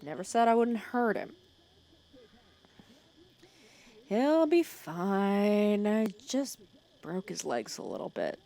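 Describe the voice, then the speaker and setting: A female villain voiced by a woman in an intimate ASMR audio drama, fussing over her nemesis with sarcastic, protective scolding. Her voice swings expressively up and down, often falling into a creaky, hushed murmur. She teases and threatens in the same caring breath. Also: mid-range voice, very animated, unhurried. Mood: dry menace.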